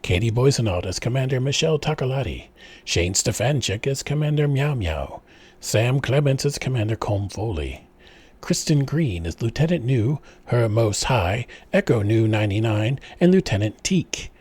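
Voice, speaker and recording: Male announcer, raspy medium-pitched voice, scripted delivery, reading credits. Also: lively intonation, moderate pace, a little mellow.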